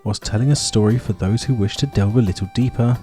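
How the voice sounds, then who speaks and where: A man's voice: fairly low pitch, quick pace, dark tone, soft background music. A man hosting and narrating a scripted video essay.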